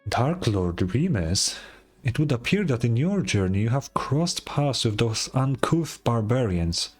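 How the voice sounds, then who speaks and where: Game casting: man as devout town bell ringer, lofty and pompous, medium pitch, sermonizing manner. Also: sing-song, a little slow, soft background music. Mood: reverent. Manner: grandiose, archaic, preaching. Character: pompous zealous male bell ringer, dreamy cult devotee. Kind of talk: game dialogue read in character.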